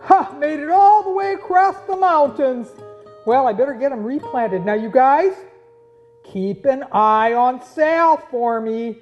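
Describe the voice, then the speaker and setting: A woman voicing an excitable, folksy pioneer farmer in a children's puppet show, full of exclamations. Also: high-pitched, unhurried. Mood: busy, friendly. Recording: dull lo-fi audio, guitar music behind.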